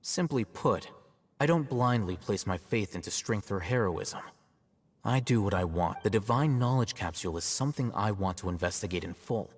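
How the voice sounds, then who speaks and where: A man playing an aloof, self-assured young scholar in a video game, measured, articulate and slightly smug. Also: fairly low pitch, animated, long reverb tail. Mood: firm, detached.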